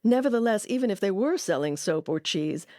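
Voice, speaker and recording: A woman hosting and narrating a documentary about radio history, with a measured storytelling delivery. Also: medium pitch, expressive, a little mellow, no room sound, studio quality.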